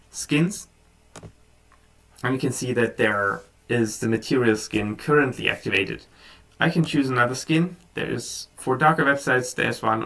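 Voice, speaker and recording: A man whose first language is German, talking casually through a software tutorial, relaxed, with frequent ums and restarts. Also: mid-range voice, gravelly, recorded from a distance. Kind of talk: casual screen-recorded tutorial.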